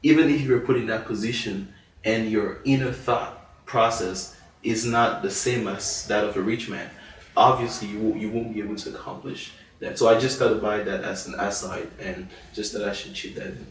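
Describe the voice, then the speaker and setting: Deep-voiced man, echoey room. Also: steady tone, normal speed, slight background noise. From Cameroon. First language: French. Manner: earnest, thoughtful, with many you-knows and restarts. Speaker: podcast co-host. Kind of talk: casual discussion. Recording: echoey room, far from mic.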